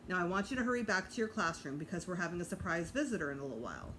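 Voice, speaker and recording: A woman's voice: lowish voice, lively intonation, racing, a bit bright, slight background noise, poor audio. A woman voicing a patient, good-humored male schoolteacher, explaining things in a children's storybook.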